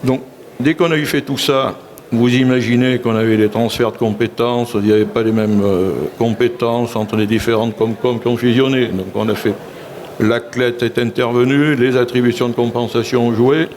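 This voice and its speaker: A man's voice: mid-range voice, fairly even intonation, moderate pace, darkish tone, slight background noise. A man, a local elected official, speaking assertively in a public panel talk and often saying "si vous voulez".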